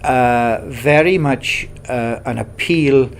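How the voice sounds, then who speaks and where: A man from Merthyr with a South Wales accent gives a slow, halting interview answer with many restarts. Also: medium pitch, slight background noise. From Merthyr Tydfil, Wales.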